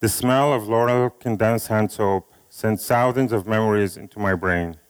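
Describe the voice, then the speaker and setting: Low-voiced Syrian man reading slowly. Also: smooth. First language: Arabic. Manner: slow, measured reading with pauses. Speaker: speaker at an event. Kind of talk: reading a poem and speech aloud.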